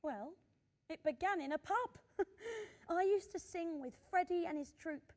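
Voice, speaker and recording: A woman's voice: very high-pitched, a little slow, darkish tone. An actress playing a modest, cheeky old woman who was once a singer, chuckling and plain-spoken in a radio drama. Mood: amused reminiscing. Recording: long hall-like reverb.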